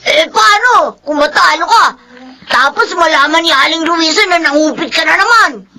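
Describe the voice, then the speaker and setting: A woman acting in a Filipino radio drama as an eager, excitable young boy egging on his friend. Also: very high voice, moderate pace, full character voice. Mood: admiring. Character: eager, admiring schoolboy pal tempting his friend.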